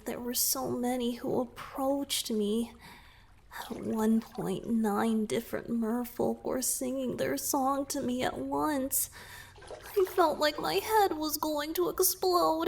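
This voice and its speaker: Woman voicing a slow, soft-spoken young merman boyfriend. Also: high voice, vocal fry, slight background noise, full character voice. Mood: playfully exasperated. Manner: soft, hesitant, intimate, often dropping to whispers. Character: young male merman boyfriend, shy, teasing, affectionate. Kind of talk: romantic ASMR roleplay.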